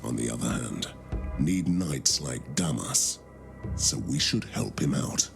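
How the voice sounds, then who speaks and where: Deep gravelly male game narrator, grave and measured. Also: big pitch swings, soft background music.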